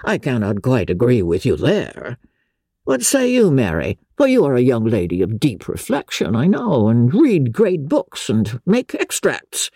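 A woman voicing a dry, unruffled middle-aged gentleman father in a classic novel audiobook, measured, deadpan and mock-solemn. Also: extremely low pitch, husky, no room sound, full character voice.

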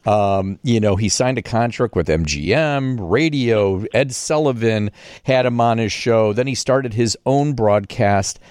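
A man's voice: medium pitch, unhurried, a little mellow, no room sound, clean studio sound. A man hosting a radio interview, moving between scripted introduction and conversational questions. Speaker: radio host.

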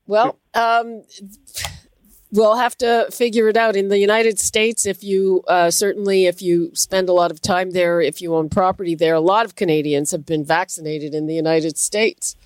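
A woman hosting a talk radio call-in show, chatting casually and moving briskly between callers with frequent hesitations. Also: fairly low pitch, fairly even intonation, dry recording.

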